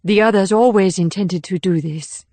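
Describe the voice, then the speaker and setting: An actress plays a stoic woman assassin from abroad in a thriller audiobook. She speaks in formal, clipped phrases with a sorrowful, protective resolve. Her mid-pitched voice is recorded close and dry. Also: normal speed, mellow, no echo, close to an everyday voice. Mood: guarded. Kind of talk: audiobook character acting.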